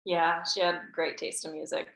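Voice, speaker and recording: A woman's voice: lowish voice, flat delivery, normal speed, vocal fry, a bit bright. A woman at a script table read playing a guarded, pessimistic teenage girl who speaks in a subdued way. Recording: roomy echo.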